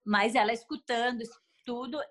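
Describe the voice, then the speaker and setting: Middle-aged Brazilian woman, guest, relaxed storyteller. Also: a little high, fairly quick. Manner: warm retelling with quoted voices, laughing. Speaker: mother, interview guest. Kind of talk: casual storytelling.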